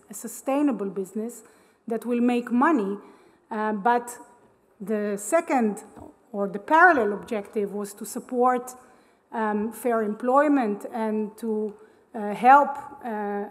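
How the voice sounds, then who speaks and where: A middle-aged woman from Israel giving a conference talk, hesitant and full of ums and uhs, on a stage microphone. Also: a little high. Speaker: conference speaker. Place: large conference hall. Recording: long hall reverb.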